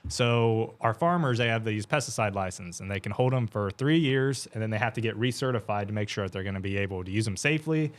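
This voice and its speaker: A man explaining farm science as a guest on a TV show. His voice sits on the low side, and he talks in an even, flat, steady way with little lift. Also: quick pace, hardly any pauses. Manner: self-described monotone, steady explaining. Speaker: extension educator guest. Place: TV studio.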